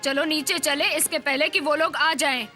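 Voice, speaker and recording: A woman's voice: sits high, normal speed, over music, close to an everyday voice. An actress voicing a plucky female adventurer in a Hindi cartoon dub. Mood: urgent.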